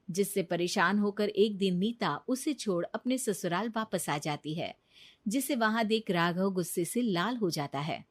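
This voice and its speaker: A woman narrating a scripted cartoon moral story as its storyteller. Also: mid-range voice.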